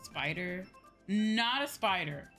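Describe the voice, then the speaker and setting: A woman's voice: medium pitch, animated, slow, a bit bright, soft background music. A woman streamer chatting casually and informally to viewers, musing aloud and circling back over her phrases. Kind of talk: casual chat while livestreaming a video game.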